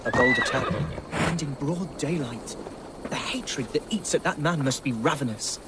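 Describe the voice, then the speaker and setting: A man's voice: on the high side, normal speed, heavy background noise, close to an everyday voice. An actor playing a sombre, reflective medieval man in a video game, speaking formally and solemnly. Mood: grave. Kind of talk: video game character acting.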